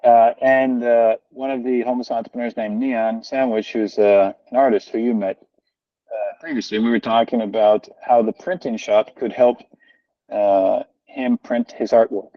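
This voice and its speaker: A man's voice: medium pitch, fairly even intonation, moderate pace, dry recording. An American man talking on a video call from home, earnest and rambling, with many fillers and restarts. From United States. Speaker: guest, founder of a social organization. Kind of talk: casual conversational interview. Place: home, family moving about behind him. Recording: dull, lo-fi video call audio.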